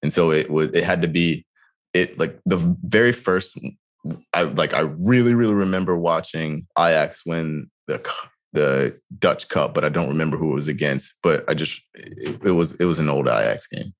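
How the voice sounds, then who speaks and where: Man, 30s, extremely low voice, relaxed co-host who hesitates and restarts a lot. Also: normal speed. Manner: hesitant, frequent restarts and fillers. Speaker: podcast co-host. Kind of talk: casual chat.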